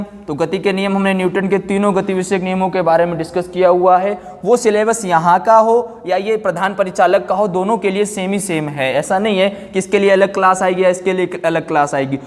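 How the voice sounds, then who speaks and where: A man speaks as a teacher walking students through exam material. His voice sits high for a man, and he explains step by step with repeated check-words and English terms mixed in. The sound carries a long, hall-like reverb. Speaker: physics teacher. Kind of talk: online exam-prep class talk.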